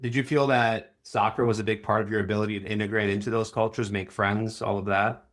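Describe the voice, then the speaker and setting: A man's voice: fairly low pitch, steady tone, brisk, fairly bright tone. A man hosting a conversational interview, asking questions in an informal, appreciative manner.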